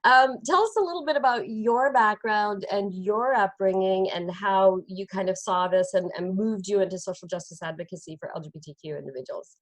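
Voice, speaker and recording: A woman's voice: medium pitch, brisk, hardly any pauses, low-quality recording. A woman interviewing a guest, thoughtful and hesitant with many fillers. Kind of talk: conversational interview.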